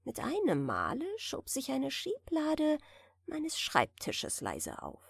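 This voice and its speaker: A woman narrator softly reading a bedtime story in a gentle, soothing manner. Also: sits high, huge pitch swings, vocal fry, dry recording, clean studio sound. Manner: gentle, soothing, unhurried delivery. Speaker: audiobook narrator.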